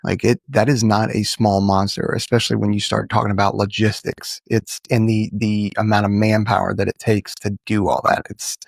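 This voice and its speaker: A man hosting a casual interview podcast, talking in a relaxed conversational style. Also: on the low side, moderate pace, vocal fry, few pauses, no echo. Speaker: podcast host.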